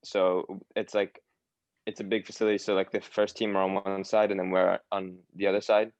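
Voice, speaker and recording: A man's voice: low-pitched, flat delivery, moderate pace, no room sound, dull audio. A young man from New York with a Long Island accent, a guest in a casual interview who talks hesitantly with many fillers and restarts. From New York, USA. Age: young adult. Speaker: guest, academy footballer.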